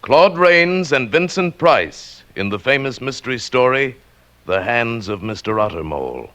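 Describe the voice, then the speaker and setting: A man's voice: mid-range voice, sing-song, measured pace, a bit bright. A man hosting a 1940s radio show, delivering scripted announcements in a polished, dramatic manner. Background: faint music.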